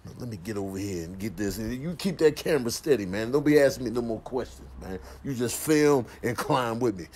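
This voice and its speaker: A man's voice: medium pitch, lively intonation, brisk, few pauses, darkish tone, slight background noise, close to an everyday voice. A man voicing a stubborn, jealous boyfriend in a comedy voiceover, indignant and insistent, full of slang. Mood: determined. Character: stubborn jealous boyfriend climbing after his girlfriend.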